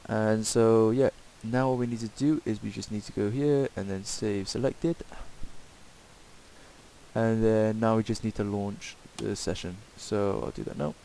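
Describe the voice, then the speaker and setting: Guy doing a casual screen tutorial, really mellow voice, bit rambly, faint hiss on the mic. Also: on the low side, steady tone, a little slow, creaky, poor audio. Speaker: tutorial presenter. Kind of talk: casual screen-recorded software tutorial, improvised. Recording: hissy home microphone recording.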